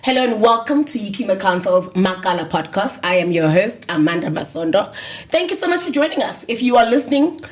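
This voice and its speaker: A woman hosting an interview podcast, lively and teasing, laughing often. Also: mid-range voice, fairly quick, soft background music. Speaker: podcast host. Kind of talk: upbeat interview chat.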